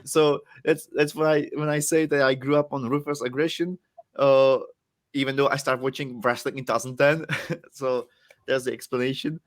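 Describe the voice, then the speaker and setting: A man's voice: mid-range voice, little pitch movement, normal speed. Young Czech-accented man, casual guest, loose rambling delivery, self-mocking. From Czech Republic. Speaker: guest wrestler. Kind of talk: casual podcast chat.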